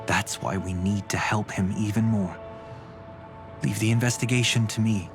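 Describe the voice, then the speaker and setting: An actor playing a composed, protective older brother in a game cutscene, speaking in a measured, calm and firm way. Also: male voice, fairly low pitch, close to an everyday voice. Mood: calm resolve. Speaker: game character. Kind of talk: voiced game cutscene dialogue. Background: game music.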